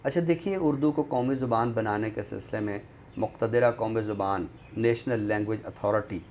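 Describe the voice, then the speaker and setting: A man with a Pakistani accent speaks as a guest in a thoughtful discussion, formal and deliberate, pausing often. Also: lowish voice, a little mellow, slight background noise. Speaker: guest commentator on a literary show.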